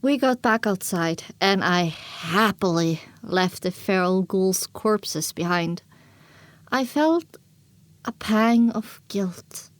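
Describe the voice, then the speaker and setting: A woman narrating a first-person story as an audiobook, expressive and dramatic, on a close microphone. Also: medium pitch, slow pace, no echo.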